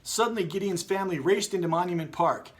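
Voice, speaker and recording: A man hosting a children's read-aloud, moving between expressive storytelling and casual chat. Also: high voice, fast, slight background noise. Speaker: story reader and host.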